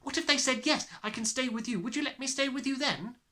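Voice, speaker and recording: A man voicing a desperate, excitable small girl in a storybook, crying out urgently and pleading. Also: extremely high pitch, fast talker, voice pushed up. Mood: eager.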